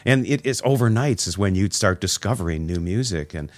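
A man's voice: sits low, animated, brisk, dry recording. A middle-aged man hosting a radio interview, chatting casually and rambling through nostalgic stories. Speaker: radio host.

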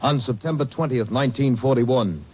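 A man narrating an epilogue in a solemn, measured way, on a dull 1950s radio transcription. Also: medium pitch, breathy edge.

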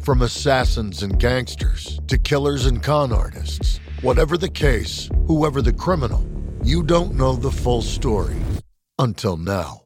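A man's voice: medium pitch, lively intonation, unhurried. A man reading scripted, dramatic narration for a podcast trailer. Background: prominent music bed.

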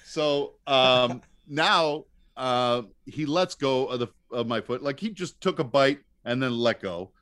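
A man's voice: medium pitch, lively intonation, slow pace, hardly any pauses, fairly bright tone, no echo. Man telling a loose, wry podcast anecdote. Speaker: podcast guest, comedian. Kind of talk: casual anecdotal storytelling.

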